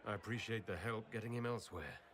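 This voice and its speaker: An actor playing a composed, gallant male leader in a video game, measured and courteous. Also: lowish voice, a crowd in the background, background noise, close to an everyday voice. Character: composed, gallant heroic leader, adult man. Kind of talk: video game cutscene dialogue.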